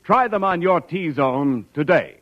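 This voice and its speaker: Old 1940s radio announcer guy selling stuff, highish voice, very up and down, muffled sound. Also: lively intonation, slow. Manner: emphatic, polished. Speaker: commercial announcer. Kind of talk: 1940s radio advertising, scripted. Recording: dull 1940s radio recording.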